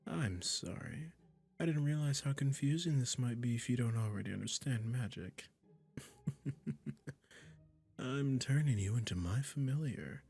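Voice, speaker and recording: A man's voice: medium pitch, gravelly, darkish tone, breathy edge, exaggerated voice. A man playing a possessive, sweetly menacing male witch in an intimate roleplay audio, coaxing and condescending as he speaks to the listener. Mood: tender, menacing. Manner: slow, coaxing, condescending pet-name talk, dropping into whispers.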